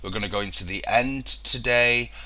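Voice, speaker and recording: A man's voice: medium pitch, moderate pace, bright, forceful tone. A young man with a throat infection, rambling through casual let's-play commentary with lots of ums and restarts.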